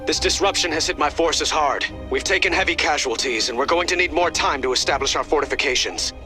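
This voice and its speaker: A man's voice: on the high side, brisk, over music, lightly acted. A man voicing a composed, courteous emperor directing his forces in a video game, formal and earnest. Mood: businesslike, earnest.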